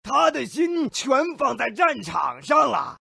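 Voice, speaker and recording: Game gnome narrator: cynical and bloodthirsty, forceful raspy edge, swooping theatrical delivery, very dry recording. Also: male voice, extremely high pitch, big pitch swings, a little slow, no echo, heavily acted. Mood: sardonic storytelling. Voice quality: gravelly, raspy. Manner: theatrical, sarcastic, gleefully morbid. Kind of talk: theatrical fairy tale narration.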